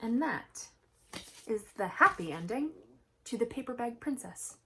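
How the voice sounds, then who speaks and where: A woman storyteller reading a picture book to young children, expressive and emphatic, chatting to them with questions. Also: fairly high pitch, measured pace, a little hiss or hum, rough recording. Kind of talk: animated storybook read-aloud with commentary to young children.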